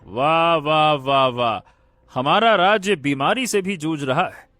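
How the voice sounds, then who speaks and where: A man playing an excitable, kind-hearted young prince in a cartoon fairy tale, with theatrical drawn-out exclamations. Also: on the high side, no room sound, faint music behind. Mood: amazed delight. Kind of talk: animated Hindi moral-story cartoon dialogue.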